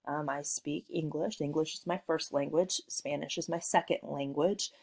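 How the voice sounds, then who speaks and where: A woman from Missouri with a notably low voice, teaching a lesson she recorded at home. She talks in a friendly, unhurried way with plenty of ums as she explains things to her students. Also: gravelly. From Missouri, USA. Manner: friendly, relaxed, with frequent ums. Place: working from home.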